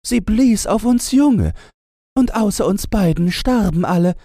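A man's voice: sits high, expressive, unhurried, warm tone, dry recording, studio quality, pitched above the performer's own voice, heavily acted. A man voicing a pair of cooing wood pigeons with a chattering manner.

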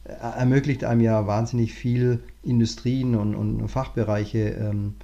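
Mellow-voiced man, musing aloud. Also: medium pitch, level tone, a little slow, vocal fry, highs cut off. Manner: thoughtful, many fillers and restarts. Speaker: guest, management consultant. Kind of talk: relaxed interview conversation. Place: lives in Cape Town, remote interview.

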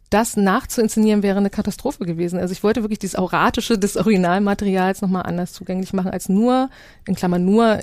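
A woman's voice: mid-range voice, a little mellow, dry recording, studio quality. A woman speaking as an interview guest, reflective and searching for words as she recounts her own project. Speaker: interview guest, audio documentary maker.

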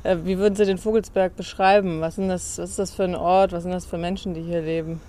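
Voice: medium pitch, flat delivery, fast talker, warm tone. A podcast interviewer and narrator who moves between conversational questions and reflective storytelling.

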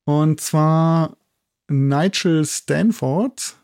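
A man's voice: on the high side, drawn-out words, darkish tone, dry recording, studio quality. A middle-aged man from Germany chatting as a professor guest in a casual interview, thinking aloud as he goes.

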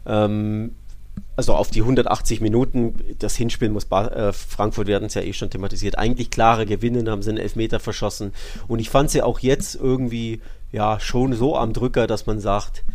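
A man's voice: fairly low pitch, steady tone, a little fast, a little mellow. Man talking football, colloquial and emphatic. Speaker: football podcast co-host. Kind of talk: casual football analysis chat.

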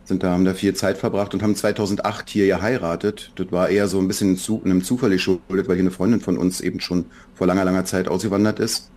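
A man in his forties from Berlin with a low voice talks things over in a relaxed podcast chat. He speaks thoughtfully, often pausing on fillers and starting sentences over. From Berlin, Germany. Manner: reflective, many fillers and restarts. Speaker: children's book author, guest.

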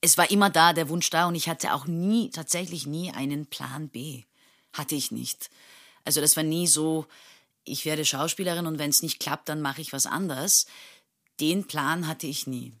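A woman's voice: sits low, normal speed, a bit bright. Thoughtful woman in her thirties, hesitant. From Turkey. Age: 30s. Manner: thoughtful, many fillers and restarts, laughs often. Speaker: actress and interview guest. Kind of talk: casual interview conversation.

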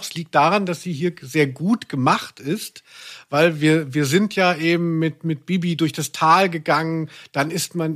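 A man's voice: a little high, fairly bright tone. A man hosting a podcast in a reflective, conversational style, earnest and analytical with some playful irony. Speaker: podcast host.

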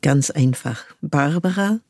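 An older woman from France, speaking thoughtfully as an interview guest and pausing often to search for the right word. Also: deep voice, a little mellow, breathy edge, dry recording, clean studio sound. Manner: thoughtful, searching for words with frequent pauses. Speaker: guest, cultural manager. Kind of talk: reflective conversational interview.

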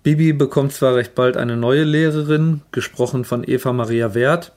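A man's voice: mid-range voice, fairly even intonation, moderate pace, a little mellow. A man delivering scripted trivia commentary in a matter-of-fact, informative manner. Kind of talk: scripted narration.

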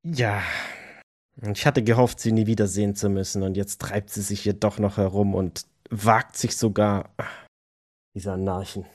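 A man playing an embittered, agitated vampire in an audio drama, emotional and sometimes halting. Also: fairly low pitch, fairly even intonation, a little mellow, close to an everyday voice. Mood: bitter. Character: embittered, agitated male vampire hunting anarchs. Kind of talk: German audio drama role-play acting.

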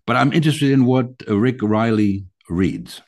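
A man hosting an interview, asking relaxed questions with fillers. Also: medium pitch, moderate pace. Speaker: host. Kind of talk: casual interview chat.

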